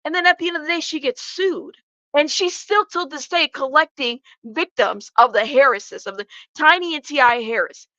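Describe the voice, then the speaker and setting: Congested woman livestreamer, high bright voice. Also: moderate pace, no echo, dull audio. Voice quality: congested, stuffed-up nose. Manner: informal, blunt, sweary, addresses chat directly. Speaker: livestream host. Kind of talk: casual live commentary to viewers.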